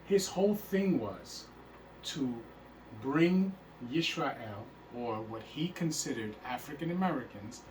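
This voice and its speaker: A man's voice: medium pitch, lively intonation, slow pace, darkish tone, reverberant. A man teaching a religious class, emphatic and repeatedly checking that his listeners understand. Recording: faint hiss, speaker far from mic.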